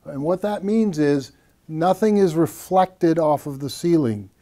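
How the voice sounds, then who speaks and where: An older man co-presenting a technical explanation in a measured, didactic way, repeating key phrases. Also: fairly high pitch, mellow. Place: small acoustically treated home theater room.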